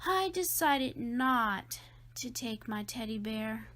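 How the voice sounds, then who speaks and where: Slow, high-voiced woman reading a storybook. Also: animated, sharp tone. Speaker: storybook reader. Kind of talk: scripted children's read-aloud narration.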